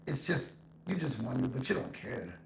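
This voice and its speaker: Echoing, gravelly man giving blunt opinions. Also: medium pitch, big pitch swings, normal speed. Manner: blunt, emphatic, repeats points. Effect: echo voice effect. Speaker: solo podcast host. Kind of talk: informal opinion monologue. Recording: echo effect, faint background noise.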